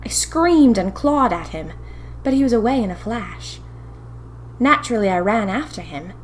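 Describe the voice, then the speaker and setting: A woman's voice: fairly high pitch, lively intonation, moderate pace, slight background noise, close to an everyday voice, rough recording. A woman playing a young 1920s typist who confides eerie happenings to her diary in a chatty, dramatic, wry way. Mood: indignant, flustered. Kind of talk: first-person audio fiction diary narration.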